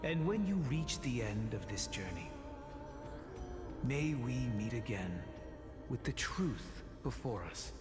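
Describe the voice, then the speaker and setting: An actor playing a calm, gentle old sage who mentors a former pupil, speaking in a measured, solemn way. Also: male voice, mid-range voice. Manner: measured, solemn, blessing-like speech. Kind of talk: video game voice acting. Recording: roomy hall reverb with game music.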